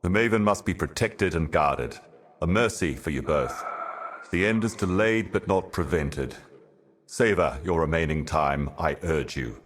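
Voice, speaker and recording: Casting: ancient cryptic cosmic being, fantasy game. Bottomless bass, solemn, portentous, measured prophet of doom. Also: male voice, lively intonation, a little hiss or hum. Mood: stern warning. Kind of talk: theatrical fantasy game monologue.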